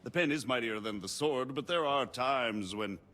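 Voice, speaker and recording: An actor playing a shrewd, haughty margrave who speaks in formal, measured, eloquent phrases in a video game drama. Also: male voice, mid-range voice, sharp tone, soft background music, lightly acted. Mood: smoothly defensive. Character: shrewd, haughty noble margrave, smooth political schemer.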